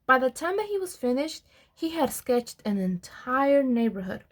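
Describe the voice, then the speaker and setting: A woman reading a children's storybook aloud in an expressive storyteller's manner, with deliberate pauses. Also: high-pitched. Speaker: program administrator reading aloud.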